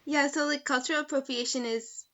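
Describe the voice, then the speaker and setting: A young woman co-hosting a casual podcast, light and friendly with quick asides. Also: sits high, fairly even intonation, sharp tone, lo-fi sound. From Middle East and Canada. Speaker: podcast co-host.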